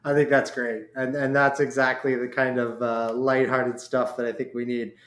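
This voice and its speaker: A man's voice: medium pitch, level tone, moderate pace, a bit bright. A man with an American accent hosting a casual interview, talking in an informal, enthusiastic and wordy way. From Oregon, United States. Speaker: podcast host. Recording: echoey, roomy sound.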